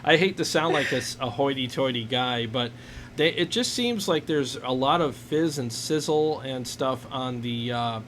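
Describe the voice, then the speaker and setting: A man's voice: mid-range voice, normal speed, a bit bright. Jokey man chatting on a noisy livestream. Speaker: host of a live gear Q&A stream. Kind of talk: casual chat. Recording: noisy live stream recording.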